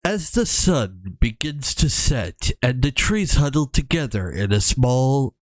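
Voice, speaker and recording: Male streamer, unhurried, close dry sound, informal campfire-style horror reading with self-corrections and joking asides. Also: mid-range voice, very animated, no echo. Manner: stumbles over text and corrects himself, wry joking asides. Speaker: streamer, VTuber host. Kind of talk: casual reading of a horror story aloud with asides.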